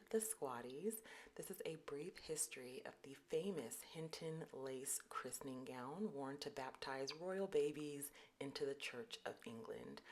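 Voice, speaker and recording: Woman, low-voiced, soft whispery read of a scripted royal history vlog. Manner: deliberately low, put-on serious voice.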